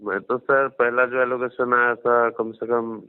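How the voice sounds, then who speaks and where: A man working as a loan recovery agent talks deferentially on a phone call, explaining things in a halting, roundabout way. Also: mid-range voice, little pitch movement, clean tone, no echo. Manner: deferential, addresses the other as sir. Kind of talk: phone conversation. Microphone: phone line. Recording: dry recorded phone call, narrow band.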